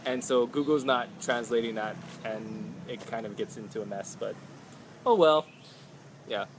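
Man, 30s, travel livestreamer; lively storyteller, mid pitch, phone mic outdoors with faint noise. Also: moderate pace. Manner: upbeat, animated storyteller, many "like"s. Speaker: travel vlogger. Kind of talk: casual live chat. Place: outdoors at a home stay patio. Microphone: phone held close.

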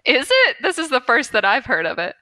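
A woman's voice: high voice, huge pitch swings, brisk, bright, forceful tone, no echo. A young woman co-hosting a podcast in casual, informal, slangy conversation. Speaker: podcast co-host. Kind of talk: casual chat.

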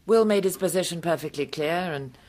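An actress as husky, haughty middle-aged widow. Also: female voice, on the low side, darkish tone, lightly acted. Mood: disdainful. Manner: measured, haughty. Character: proud, composed, disdainful middle-aged widow. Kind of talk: British radio drama acting.

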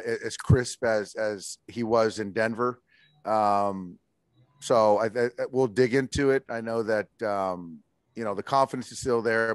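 A man in his forties, a baseball manager answering postgame press questions in a measured way, with many fillers and restarts, heard over a video call. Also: on the low side, no echo. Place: indoors in front of a logo backdrop.

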